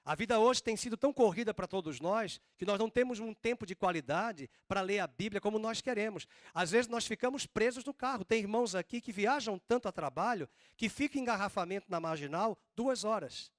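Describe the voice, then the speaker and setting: A man preaching a sermon as pastor, with a drawn-out Northeastern Brazilian accent and slow, emphatic stresses. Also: sits high, no room sound, studio quality. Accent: Northeastern Brazilian Portuguese.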